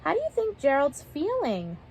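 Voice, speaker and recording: A young adult woman reading a children's picture book aloud, expressive and pausing to ask the listener questions. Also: high voice. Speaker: children's story reader.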